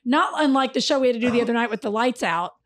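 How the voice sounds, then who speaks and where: A woman comedian with a naturally raspy voice, joking and telling stories in casual podcast chat, recorded on a studio boom mic. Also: racing, over a noisy crowd. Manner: animated, joking storyteller. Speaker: comedian guest. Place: podcast studio with shelves and couch. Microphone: studio mic on boom arm.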